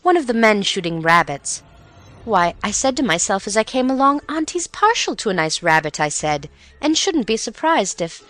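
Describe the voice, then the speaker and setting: A woman voicing a quick-tongued young niece who talks in a breezy, opinionated way. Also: mid-range voice, big pitch swings, a bit bright, voice pushed up. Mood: relieved chatter.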